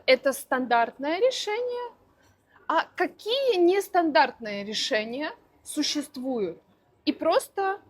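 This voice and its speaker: A woman language teacher answering interview questions for learners, speaking slowly and deliberately with careful articulation. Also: high-pitched. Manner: slow, deliberate, clearly articulated.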